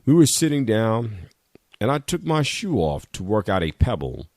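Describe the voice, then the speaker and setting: A man with a low voice reads a first-person short story aloud. The sound is dry, with little room around the voice. He delivers it as a literary reading. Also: sing-song, moderate pace, a little mellow, no room sound. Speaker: reader of a short piece at a memorial reading.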